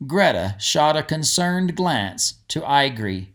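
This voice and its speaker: A man's voice: fairly high pitch, very animated, takes it slowly, a bit bright. A man who is an author, narrating a storybook and giving casual commentary on it.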